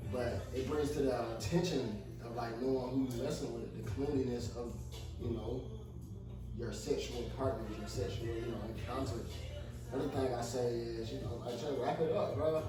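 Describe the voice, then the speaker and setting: A man of twenty-one talking casually and with slang as a student panelist in a group discussion. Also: medium pitch. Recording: very echoey, distant, music behind.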